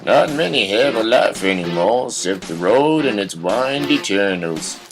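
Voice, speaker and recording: A man playing an indifferent rural father with a deadpan, folksy way of talking in an audio drama. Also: lowish voice, music in the background. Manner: unhurried, deadpan, folksy old-time phrasing. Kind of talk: surreal audio drama dialogue.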